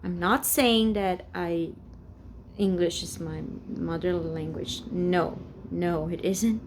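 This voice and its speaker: A woman in her forties from Brazil, speaking English with a Brazilian Portuguese accent and a halting, hesitant way of searching for words, in a rambling personal vlog recorded at home. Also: on the low side, background noise. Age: 40s. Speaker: vlogger. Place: home, indoors.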